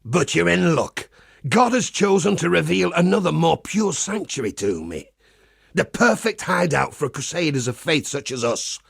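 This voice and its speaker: A man voicing a pious, wry crusader priest in a video game, with a husky, gravelly voice and a measured, folksy preacher's manner. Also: a little high, fairly bright tone, dry recording, studio quality, full character voice. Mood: persuasive. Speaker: game character. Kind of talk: scripted game character monologue.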